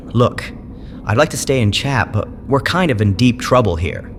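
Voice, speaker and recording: A man's voice: medium pitch, expressive, normal speed, a little hiss or hum, close to an everyday voice. A man playing a pragmatic, uneasy space adventurer in an audio drama, speaking in a hesitant, conversational way. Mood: uneasy, urgent.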